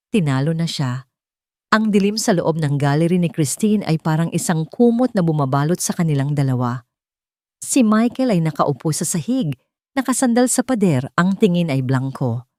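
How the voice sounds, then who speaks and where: A woman storyteller reading a scripted romantic drama in a solemn, emotive and deliberate manner. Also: on the low side, warm tone, no room sound, clean studio sound.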